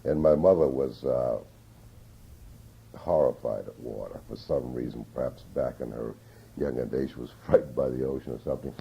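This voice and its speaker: Gravelly low-voiced older man reminiscing. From Newton, Massachusetts. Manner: slow, reflective, with many hesitations. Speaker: oral history interviewee. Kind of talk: reminiscing in an interview. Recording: dull lo-fi 1981 tape.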